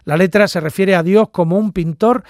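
A man from Andalusia, giving measured documentary narration. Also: sits high, darkish tone, dry recording, clean studio sound. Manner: measured, explanatory.

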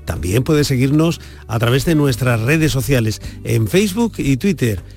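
A man's voice: mid-range voice, animated, moderate pace, darkish tone. A man from Andalusia hosting a radio program, presenting and interviewing a guest in a chatty, questioning way. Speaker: radio host. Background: music bed.

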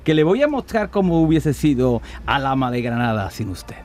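A man's voice: medium pitch, very animated, normal speed, darkish tone, soft background music, lightly acted. A man playing an insistent little demon guide in a Christmas radio play, with theatrical delivery. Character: little demon guide showing a world without George.

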